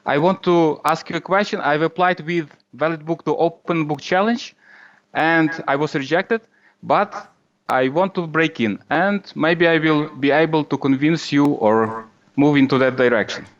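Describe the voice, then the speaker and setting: A man with a Ukrainian accent calling in to a show, speaking haltingly and searching for words as he puts his questions. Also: on the high side. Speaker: call-in guest. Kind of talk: hesitant conversational questioning. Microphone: internet video or voice call. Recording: dull Skype call audio.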